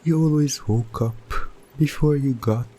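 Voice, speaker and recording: A man narrating a scripted second-person ASMR roleplay in a deliberate, suspenseful way, breaking sentences into short phrases. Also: medium pitch, mellow, slightly rough. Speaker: hypnosis audio narrator.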